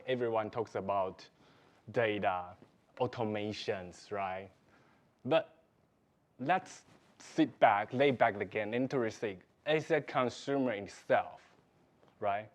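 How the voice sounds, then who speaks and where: A man's voice: on the low side, dull audio. Taiwanese man giving a chatty sales talk. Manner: non-native phrasing, frequent "right?" checks, loose and chatty. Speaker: ads marketer presenting at a seller event. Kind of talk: conference presentation. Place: live event in Singapore.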